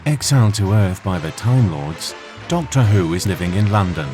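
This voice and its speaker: Casting: male storyteller, deep register, scripted drama narration with light music underneath. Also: lively intonation, moderate pace.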